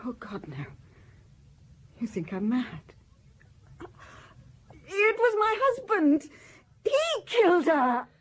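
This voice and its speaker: A woman playing a haughty, highly strung wealthy wife under suspicion in a radio detective drama, breathy, theatrical and defensive. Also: huge pitch swings, very slow, pauses often, exaggerated voice. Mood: desperate.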